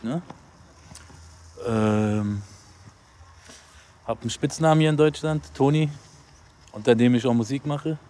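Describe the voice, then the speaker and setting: A young man and rapper talking casually in an outdoor interview, relaxed and conversational. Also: mid-range voice. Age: young adult. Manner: relaxed, conversational, says "weißt du" often. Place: outdoors in an urban garden.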